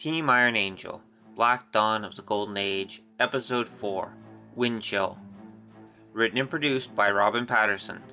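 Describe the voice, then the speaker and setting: A man announces a drama's titles and credits in a lowish, very bright and forceful voice. Music plays behind his scripted read. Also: measured pace, dull audio.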